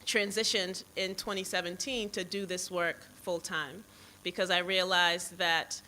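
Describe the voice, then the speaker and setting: A woman in her forties with an American accent giving a public speech, pausing now and then to rephrase. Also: on the low side, fairly even intonation, pushed and bright, poor audio. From Boston, United States, born in Jamaica. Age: 40s. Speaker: foundation president, guest speaker. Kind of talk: public speech, partly off the cuff.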